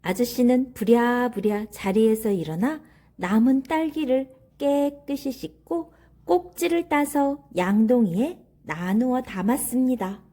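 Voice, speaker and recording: High-voiced, unhurried woman reading a storybook. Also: mellow. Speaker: children's story reader. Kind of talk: expressive children's storybook narration.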